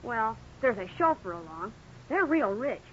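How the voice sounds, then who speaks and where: An insistent, boyish kid of thirteen in a 1950s radio drama. The voice is high and whiny, swinging eagerly up and down. The old recording is muffled and noisy. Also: female voice, expressive, unhurried, a bit bright. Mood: eager, pleading. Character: eager thirteen-year-old boy pestering his dad.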